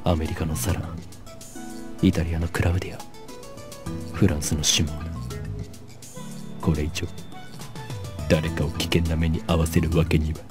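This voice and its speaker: A man playing a theatrical, self-styled mad scientist in a visual novel, speaking in a grandiose, melodramatic way with dramatic pauses. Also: rumbling low, flat delivery, music in the background. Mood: brooding. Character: theatrical young man with delusions of being a hunted mad scientist. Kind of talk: Japanese visual novel voice acting.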